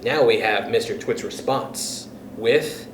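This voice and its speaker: A man reading a children's story aloud as a storyteller, in an animated, expressive way. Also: mid-range voice, slow pace, recorded from a distance. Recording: roomy echo with faint background noise.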